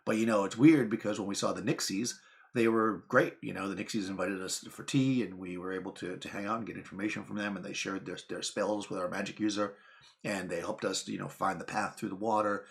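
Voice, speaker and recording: Guy rambling to camera, lowish voice, kinda gravelly, um and uh everywhere. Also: steady tone, a little fast. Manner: rambling, chatty, lots of fillers and asides. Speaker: channel host. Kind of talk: casual talk to camera.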